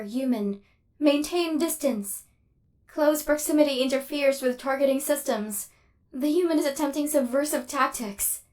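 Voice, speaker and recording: A woman's voice: sits high, a little mellow, lightly acted. A woman voicing a cold, haughty female killer robot in an ASMR roleplay, speaking in a formal, clinical, stilted way with a hint of hidden fluster. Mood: wary, insistent.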